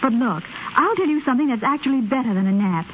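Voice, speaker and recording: Carefree chatty young gal played by an actress, highish voice, vintage radio ad, muffled sound with light hiss. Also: female voice, animated, quick pace, lightly acted. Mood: breezy, chatty. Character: breezy, slangy young woman, a soap enthusiast. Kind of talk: 1930s radio advert dialogue. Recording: muffled, hissy 1930s radio recording.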